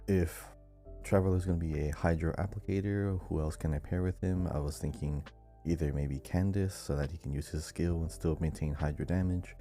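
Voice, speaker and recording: A man's voice: low voice, dark tone. A man co-hosting a gaming podcast, chatting in a casual, conversational way. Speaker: podcast host.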